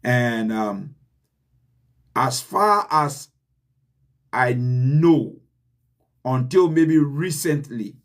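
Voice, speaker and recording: A man with a Liberian accent delivers a motivational message in an unhurried, deliberate way. His voice sits at an ordinary middle pitch. He speaks earnestly and repeats key lines to drive them home. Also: lively intonation. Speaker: channel host. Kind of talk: motivational address.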